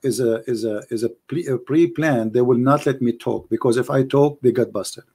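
A man's voice: medium pitch, moderate pace, dark tone, husky. A man whose first language is Arabic, arguing emphatically in a call-in debate, repeating himself, with non-native grammar. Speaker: debate show host.

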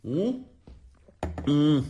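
A man's voice: a little high, big pitch swings, very slow, mellow, muffled recording, slight background noise, heavily acted. An actor playing a boastful, self-pitying businessman who drinks and mocks everyone at a family dinner in a radio drama. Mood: tipsy. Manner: sarcastic, theatrical, drinking.

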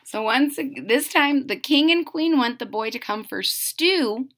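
A middle-aged woman reading a children's picture book aloud with deliberate, theatrical phrasing. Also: high-pitched, expressive, fairly bright tone. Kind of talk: expressive children's read-aloud. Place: small room at home.

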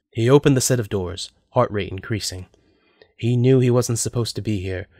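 Guy reading a story as narrator, mid pitch, close dry sound. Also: no echo.

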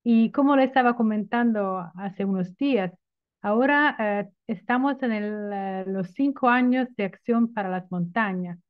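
A middle-aged woman with an Italian accent, Italian her native language, speaking at an online event, lively and informal, searching for words. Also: medium pitch, measured pace. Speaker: invited speaker. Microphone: video call. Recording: tinny phone-like audio.

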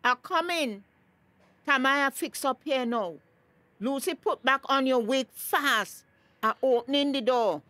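A woman's voice: high voice, a little slow, bright, forceful tone. A woman with a Tobagonian accent playing a stern, suspicious village mother in a radio drama, emphatic and indignant. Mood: sharp, flustered.

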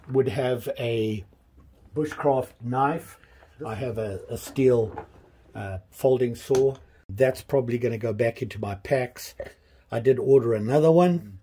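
A man in his fifties casually showing his tools in a small workshop, talking in a relaxed, rambling way with many pauses and restarts. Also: medium pitch, slightly rough. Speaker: hobbyist channel host. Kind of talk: casual show-and-tell vlog. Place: small workshop with pegboard tool wall, indoors.

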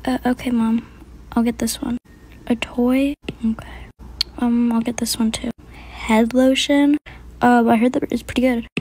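A sulky, resentful sick girl, as a woman acts her out talks in a high voice with sarcastic, bitter complaints. It is a homemade skit. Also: little pitch movement, a little slow, pauses often, a little mellow. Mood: flat, sarcastic.

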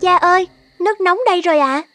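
A woman's voice: very high voice, fast talker. A woman voicing a dutiful, caring young village daughter in a cartoon, polite and concerned. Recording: loud music under the voice.

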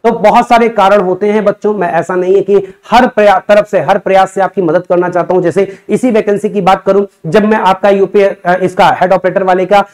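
A man's voice: sits high, fairly even intonation, fairly quick, hardly any pauses, darkish tone. A young man teaching students in an emphatic, conversational way, often asking and answering his own questions. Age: young adult. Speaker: exam coaching teacher. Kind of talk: explanatory lecture to students. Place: indoor teaching studio in front of a digital board.

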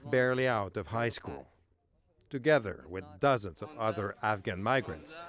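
A male reporter narrating a news feature in a measured, scripted voice-over. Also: medium pitch. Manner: measured, even storytelling delivery. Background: music bed.

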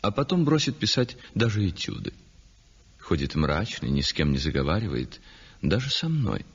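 A worldly, pleased-with-himself painter holds forth in a 1960s radio drama. His pitch swings dramatically and his tone is husky, chatty and smug. The old recording sounds dull, with a long reverb. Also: very animated, moderate pace, heavily acted. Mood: musing, condescending. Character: self-satisfied, worldly male painter, chatty and mercenary. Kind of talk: 1960s radio play acting, confiding monologue.

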